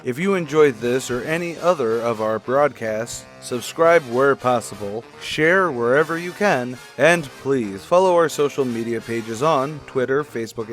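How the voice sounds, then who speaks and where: Male radio announcer over music. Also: mid-range voice. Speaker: podcast announcer. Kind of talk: scripted radio-style announcing.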